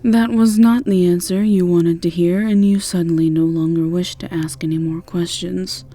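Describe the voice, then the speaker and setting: A woman's voice: on the low side, fairly even intonation, moderate pace, dark tone. A woman narrating a scripted second-person fantasy story as the narrator of an audio roleplay.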